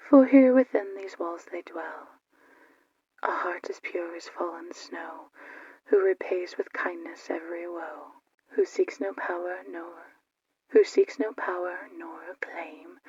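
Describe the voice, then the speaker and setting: A woman voicing a subservient magic mirror spirit in a fairy tale, speaking solemn archaic verse in a breathy whisper. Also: fairly low pitch, warm tone, no echo, lo-fi sound, exaggerated voice. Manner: slow, solemn rhyming verse in archaic wording.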